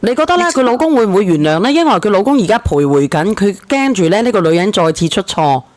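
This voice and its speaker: A woman's voice: medium pitch, very animated, fast talker, darkish tone, no room sound. Close, dry-sounding woman host. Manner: questioning, recaps and checks with tag questions. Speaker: host of a relationship call-in show. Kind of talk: casual live hosting chat.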